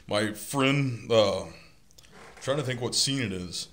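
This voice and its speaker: A man co-hosting a podcast, talking casually, blunt and opinionated with gruff mock outrage. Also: medium pitch, slow, fairly bright tone. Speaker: podcast co-host. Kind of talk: casual chat.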